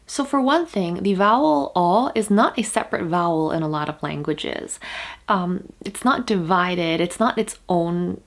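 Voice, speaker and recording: A woman's voice: lowish voice, animated, unhurried. A young woman whose first language is Korean, giving a casual pronunciation lesson to the camera. She explains patiently, with fillers and restarts, and draws out vowel sounds to demonstrate them.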